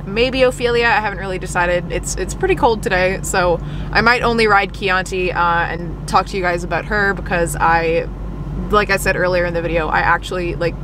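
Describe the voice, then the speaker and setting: A woman's voice: medium pitch, noisy background. Casual young Canadian woman vlogging. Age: 20s. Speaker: vlogger and horse rider. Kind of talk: casual vlog chat to camera. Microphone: camera held at arm's length or set on dashboard.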